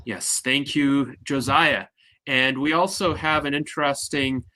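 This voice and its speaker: A man hosting an online panel, talking in a measured, formal and orderly way and handing the floor to each guest. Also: medium pitch, pushed and bright, rough recording. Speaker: host and moderator.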